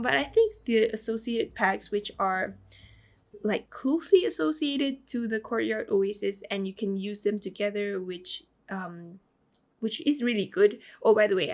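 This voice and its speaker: A woman with a raspy voice, hoarse from the flu, narrating a game build in casual, rambling voice-over. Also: medium pitch. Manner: rambling, lots of fillers and small laughs.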